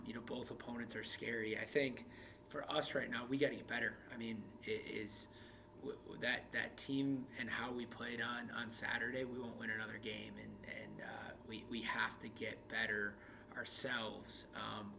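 A man in his thirties, a basketball coach, talking candidly in an interview with halting restarts. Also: medium pitch, vocal fry, background noise. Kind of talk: post-game interview. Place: indoor media room in front of a backdrop.

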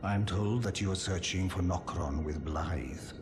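Very deep, slow old giant. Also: male voice, background noise. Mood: courteous, earnest. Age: older. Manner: slow, courteous, self-deprecating. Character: gentle old giant blacksmith and war counselor. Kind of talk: scripted video game character dialogue. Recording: loud music behind the voice. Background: music.

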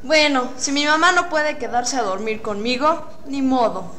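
A woman's voice: high voice, moderate pace, a bit bright. A woman playing a sulky fifteen-year-old boy full of bravado in a student radio drama. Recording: faint noise, amateur radio drama recording.

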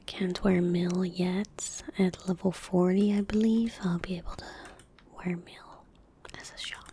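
A young woman murmurs softly into a close desk microphone in a breathy whisper. Her delivery is very slow and gentle, with little rise or fall in pitch. It is relaxed ASMR commentary over gameplay. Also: slight background noise. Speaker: ASMR gaming streamer. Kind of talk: soft-spoken ASMR game commentary. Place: indoor room at a desk.

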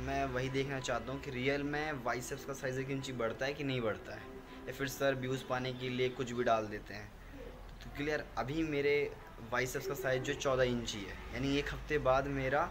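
A man's voice: medium pitch, fairly even intonation, brisk, a crowd in the background. A young man filming himself outdoors with a phone at arm's length, chatting to viewers and often checking they follow. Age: young adult. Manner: chatty, keeps asking "clear?" and "ठीक है?". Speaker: fitness vlogger. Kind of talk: casual vlog to camera. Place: outdoor wall by plants. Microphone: phone camera at arm's length.

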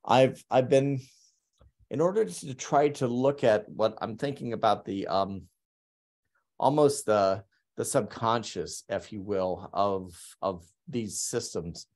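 A man's voice: lowish voice, expressive, darkish tone. Hesitant man talking over slides. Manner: halting, many ums and restarts. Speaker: artist presenting his work. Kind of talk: conference talk over slides. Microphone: webcam on a video call.

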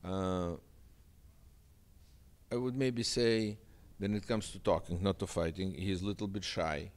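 Deep-voiced man. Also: fairly even intonation, unhurried. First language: Russian. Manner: earnest, emphatic, repeats phrases. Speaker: boxing manager. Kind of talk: sit-down interview. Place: indoor studio set with sofa. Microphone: handheld mic.

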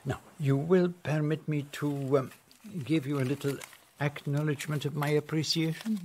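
An actor playing a fussy, formal elderly Belgian detective with a French accent, in a radio play. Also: male voice, fairly high pitch, steady tone, moderate pace, a little mellow, a little hiss or hum, close to an everyday voice. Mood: polite. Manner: formal, precise, polite.

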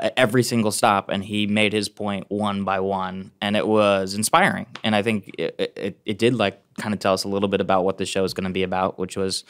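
A man's voice: fairly low pitch, clear, resonant tone. A man in his thirties, a guest on a podcast, telling stories casually with self-deprecating laughter. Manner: self-deprecating, giggly storyteller. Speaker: field producer, podcast guest. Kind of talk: casual chat. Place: small podcast studio. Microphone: large studio mic on a stand.

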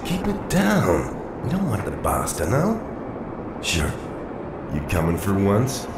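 A man's voice: fairly low pitch, wildly swooping pitch, slow pace, noisy background, heavily acted. A man playing a brash, reluctant young civilian pilot in a video game cutscene. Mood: casual. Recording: loud music over the voice.

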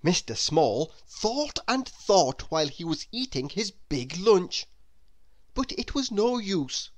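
A man reading a children's story aloud as narrator, in an expressive, deliberate way. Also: high voice. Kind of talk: scripted narration for young children.

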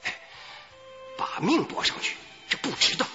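An actor playing an anxious, self-serving company boss in a radio drama, hesitant and self-pitying, with sighs. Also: male voice, measured pace, pushed and bright. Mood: uneasy. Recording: muffled, reverberant hall sound, faint music.

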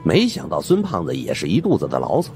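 A man's voice: sits low, wildly swooping pitch, fast talker, creaky, darkish tone, soft background music. A man narrating an audiobook as dramatic, scripted storytelling.

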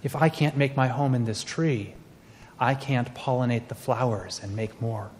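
A man's voice: mid-range voice, normal speed, darkish tone, in a large hall, a little hiss or hum, natural voice. A man voicing an earnest forest bee as he reads a storybook aloud.

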